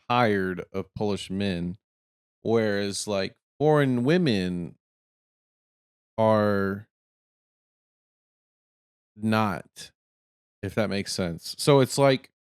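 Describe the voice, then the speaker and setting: A man in his late twenties with a lowish voice, recorded dry and close. He rambles through his thoughts as a channel host, circling back with fillers. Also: clean tone, no echo. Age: 20s. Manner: rambling, thinks aloud with fillers. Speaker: channel host reacting to clips. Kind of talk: casual commentary.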